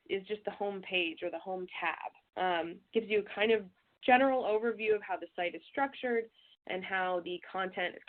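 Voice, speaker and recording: A woman walks an audience through a software webinar, her voice a little stuffy from a cold. She talks in a relaxed way and fills gaps with small fillers. The sound is thin and phone-like, as over a web call. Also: medium pitch. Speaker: presenter. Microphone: web call audio. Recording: tinny phone-like audio.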